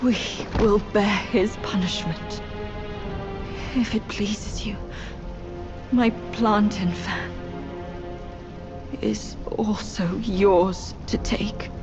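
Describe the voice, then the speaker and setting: A demon queen from a fantasy video game, pleading with solemn dignity. Her words come slowly and formally, heavy with a mother's sorrow, over clearly heard music. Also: medium pitch, steady tone, dull audio. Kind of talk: dramatic video game acting.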